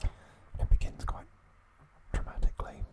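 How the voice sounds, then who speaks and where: A man narrating an episode recap as an ASMR host, speaking deliberately in short phrases with pauses.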